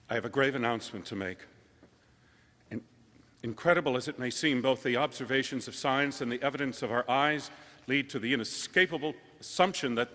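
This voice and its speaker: A male actor plays an earnest radio newsman delivering urgent bulletins in an old-fashioned drama, halting as he goes. His mid-pitched voice is forceful and bright. A long reverb surrounds it. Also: lightly acted. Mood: grave, stunned. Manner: hesitant, urgent announcing. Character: flustered, earnest radio news announcer reading urgent bulletins. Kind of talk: 1930s-style radio drama acting.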